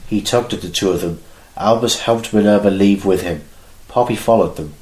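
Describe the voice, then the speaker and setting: A man's voice: lowish voice, moderate pace, darkish tone. A man reading scripted narration for an amateur audio drama. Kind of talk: scripted fan-fiction narration. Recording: echoey room with faint music.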